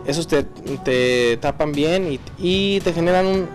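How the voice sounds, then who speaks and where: Halting Mexican man explaining, higher-pitched voice. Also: faint music behind. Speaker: auto body paint technician. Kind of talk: informal hands-on explanation.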